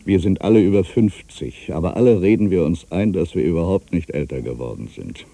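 An actor playing a melancholy writer in his fifties, musing haltingly to himself in a radio play monologue, with a gravelly, husky voice. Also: low voice, animated, mellow, no room sound, exaggerated voice. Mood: wistful, animated. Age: 50s. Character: aging melancholy male writer back in his hometown.

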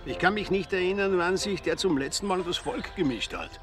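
An actor playing a gossipy, wry village pub regular in a radio drama, talking colloquially with an Austrian accent. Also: male voice, high voice, fast talker, music in the background, close to an everyday voice. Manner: dry, teasing, colloquial. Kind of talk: naturalistic radio drama acting.

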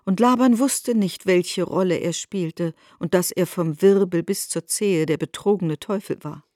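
A woman performing as a composed narrator in a literary radio drama, speaking in a measured, formal and deliberate way. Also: fairly low pitch, mellow, no room sound, clean studio sound, close to an everyday voice.

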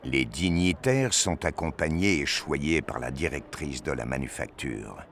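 A man's voice: very deep voice, expressive, measured pace, creaky, a bit bright, slight background noise. A man narrating a scripted science fiction audio drama in the manner of a storyteller.

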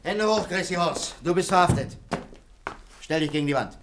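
An actor playing a brusque soldier barking orders during an arrest in a German radio drama. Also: male voice, sits high, normal speed, noisy background. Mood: commanding.

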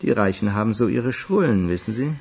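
Husky, wry film producer. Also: male voice, fairly low pitch, expressive, brisk, darkish tone, exaggerated voice. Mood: wry, ingratiating. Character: wry, ingratiating small-studio film producer. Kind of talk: radio crime drama acting.

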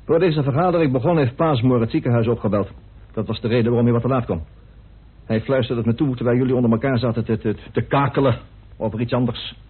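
A man's voice: mid-range voice, fast talker, breathy edge, natural voice. An actor playing a grim, indignant man in a radio play, speaking emphatically and accusingly. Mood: grave, insistent. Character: grim, indignant man bitterly revealing a hidden truth. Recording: muffled, narrow old radio recording.

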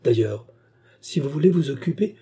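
A devoted older maidservant from a storybook, voiced by a man, fussing protectively over her mistress. The voice is raised in pitch yet soft and dark, with a husky grain, and it exclaims readily in deferential protest. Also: expressive, normal speed. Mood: indignant. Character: devoted fifty-year-old peasant maidservant, fussy and indignant. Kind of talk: audiobook character dialogue.

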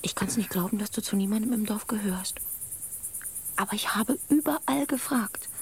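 A woman's voice: mid-range voice, moderate pace, crowd noise, close to an everyday voice. A woman playing a tender, worried fisherman's wife in a radio play, motherly and pleading. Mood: tender, troubled. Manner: pleading, motherly, breathless urgency when alarmed. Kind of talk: German radio drama acting.

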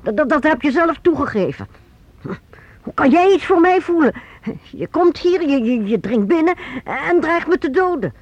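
A woman's voice: high voice, very animated, normal speed, no room sound. An actress in a Dutch radio play playing an anxious, evasive middle-aged mother held captive by her son, hesitant, stammering and placating. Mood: hurt, accusing. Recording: muffled old radio play recording.

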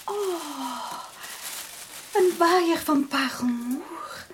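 A woman's voice: high-pitched, expressive, extremely slow, exaggerated voice. An actress playing a refined, willful young society lady in a period radio drama, with an affected, genteel manner. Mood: wondering, enraptured. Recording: slightly noisy old radio recording.

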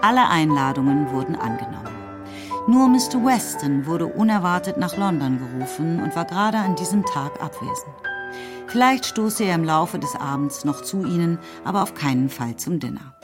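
A woman's voice: deep voice, expressive, normal speed. A woman reading scripted literary narration as the narrator of a radio play. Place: radio studio. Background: music.

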